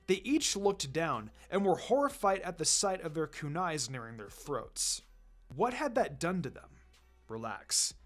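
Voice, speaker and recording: Lively male story narrator over music. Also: fairly high pitch. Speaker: fan fiction reader. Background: background music.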